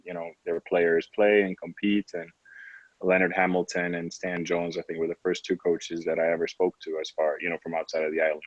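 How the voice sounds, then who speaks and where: A man in his late twenties from Trinidad and Tobago, talking conversationally and reflectively as an interview guest, often saying "you know". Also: sits low. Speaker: podcast guest, professional basketball player. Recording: roomy, slightly dull lo-fi audio.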